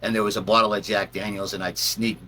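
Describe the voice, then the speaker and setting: A man's voice: fairly low pitch, flat delivery, fast, bright, forceful tone, a little hiss or hum. A man telling personal stories in a casual, rambling way, acting out bits as he goes. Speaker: storyteller, channel host.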